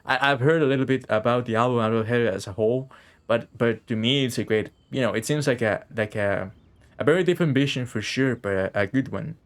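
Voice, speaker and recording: A man's voice: medium pitch, brisk. A man chatting casually on a game live stream, rambling and repeating phrases as he thinks aloud.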